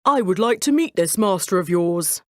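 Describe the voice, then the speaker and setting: A woman's voice: mid-range voice, lively intonation, normal speed, no echo, clean studio sound, natural voice. Gracious, regal storybook king done by a woman. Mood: curious, eager. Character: pleased, gracious storybook king, fond of gifts.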